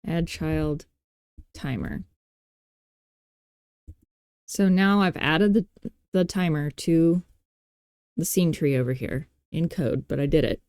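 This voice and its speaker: Woman, tutorial host, relaxed dark tone, dry recording, casual thinking aloud, playful, self-deprecating. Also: low voice, slow pace, pauses often, clear, resonant tone, no echo.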